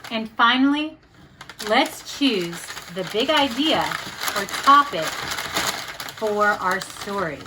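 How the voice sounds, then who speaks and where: A woman teacher presenting a step-by-step lesson for children, with deliberate, encouraging delivery. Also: a little high, expressive, noisy background. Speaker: teacher presenting an educational show.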